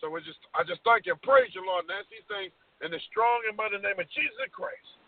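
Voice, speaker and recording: Fervent high-pitched man praying by phone. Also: lively intonation, brisk. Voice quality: fervent, repeats "God" and "Lord" after every phrase. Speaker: caller leading prayer. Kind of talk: spontaneous spoken prayer. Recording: tinny phone line, distant from the mic.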